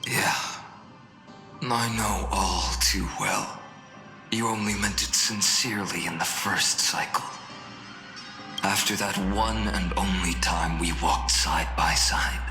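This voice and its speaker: A grave, exhausted saviour from a fantasy game. His low voice has a very sharp, forceful edge, and it drags with resignation in a long echo over faint music. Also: full character voice. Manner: slow, grave, resigned delivery. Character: weary deliverer worn down by countless doomed cycles. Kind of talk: dramatic video game voice acting. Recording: reverberant, echoey hall sound.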